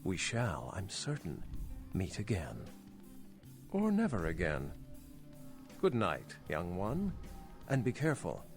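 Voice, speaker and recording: An actor playing an urbane, worldly old vampire scholar in a video game, speaking in a formal, wry, articulate way. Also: male voice, sits low, wildly swooping pitch, slow pace, faint music behind, heavily acted. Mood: cool, courteous. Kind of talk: video game character dialogue.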